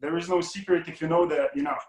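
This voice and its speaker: A man's voice: a little high, steady tone, fast talker. Rambling Lithuanian-born man, echoey room. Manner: rambling, many fillers and restarts. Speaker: guest money mindset coach. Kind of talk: casual interview talk.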